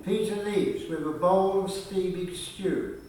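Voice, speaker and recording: Slow, high-pitched male narrator, echoey. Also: steady tone, dark tone. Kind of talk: scripted radio play narration, reading stage directions. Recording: distant, echoey, noisy room recording.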